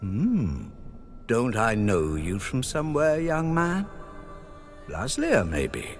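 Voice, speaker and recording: An aging priest for a dark fantasy game, his voice swinging widely in pitch over clear music. He speaks slowly and formally, with solemn courtesy and a sly, probing undertone. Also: wildly swooping pitch, heavily acted. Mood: probing, courteous. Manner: measured, formal, insinuating. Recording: music behind the voice.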